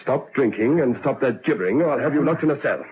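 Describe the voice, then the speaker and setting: An actor playing a stern, commanding prison governor in a radio drama, brisk and authoritative like a military major. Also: male voice, medium pitch, expressive, husky. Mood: stern, exasperated. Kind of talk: old radio drama acting. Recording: dull, noisy lo-fi vintage radio recording.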